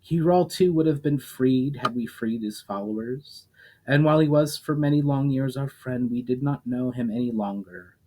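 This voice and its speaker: A man's voice: mid-range voice, moderate pace, darkish tone, slight background noise, close to an everyday voice, poor audio. A man voicing a hesitant, guilt-laden ancient elemental in a storybook reading.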